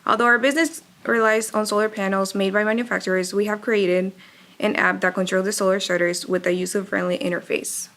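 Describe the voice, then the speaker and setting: A young woman from Texas giving a prepared student presentation in a steady, earnest reading voice. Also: medium pitch, a little fast.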